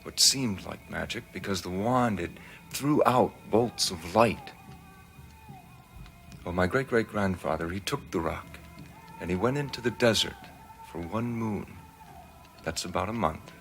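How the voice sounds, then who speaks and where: A man playing a composed military colonel, speaking in a measured, solemn storyteller's way in a television drama. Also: low-pitched, vocal fry, a bit bright, faint music behind, heavily acted. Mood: solemn storytelling.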